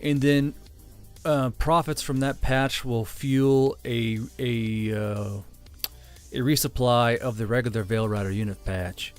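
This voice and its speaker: A man's voice: medium pitch, steady tone, slow, faint music behind. A man hosting a channel, talking casually off the cuff and stumbling over his words. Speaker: channel host. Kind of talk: casual unscripted chat to viewers.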